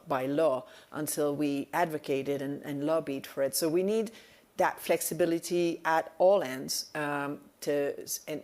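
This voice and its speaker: A woman's voice: low-pitched, a little mellow. A woman moderating a conference panel, speaking thoughtfully with frequent fillers and restarts.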